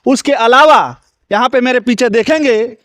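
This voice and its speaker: A man's voice: very high voice, normal speed, fairly bright tone, dry recording, studio quality. A man reporting on location at a wedding lawn, speaking in an urgent, indignant way and questioning the people there. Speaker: local news reporter. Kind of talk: on-the-spot news report with interviews.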